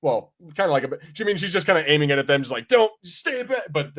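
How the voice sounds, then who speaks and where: A man's voice: on the high side, sing-song, fast. A man hosting a casual review podcast, rambling informally and swearing freely as he chats.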